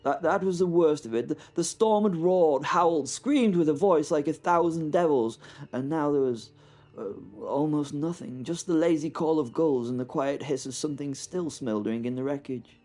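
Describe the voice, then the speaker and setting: A man with a British accent narrating a first-person horror drama in a wry, halting way, often repeating words, with faint music behind. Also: a little high, darkish tone. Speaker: podcast host and narrator.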